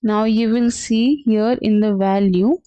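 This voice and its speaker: A woman trainer talks through a software tutorial on a dull, lo-fi recording with very little room sound. Her pitch is average for a woman, and her step-by-step explanation is unscripted and somewhat halting. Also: steady tone, dry recording. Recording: dull, lo-fi, dry close sound.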